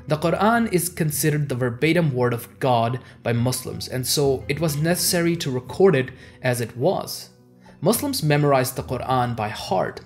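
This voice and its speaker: Young man narrating history over music. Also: mid-range voice. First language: Urdu. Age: young adult. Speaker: history channel host. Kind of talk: scripted educational narration. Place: small home office, indoors. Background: background music.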